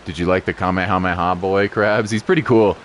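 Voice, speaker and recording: Low-voiced man casually streaming games. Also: fairly even intonation, brisk, a little hiss or hum. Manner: informal, rambling, thinking aloud, occasional playful exclamations. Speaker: gaming livestream host. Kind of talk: casual livestream gameplay commentary, chatting with viewers. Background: game music.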